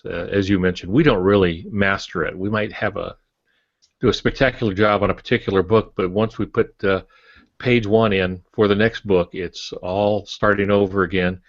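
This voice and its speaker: A man with a low voice talks casually as a podcast co-host, explaining things reflectively. The sound is dry and close, with a slightly dull, lo-fi quality. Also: no room sound. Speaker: podcast co-host discussing writing.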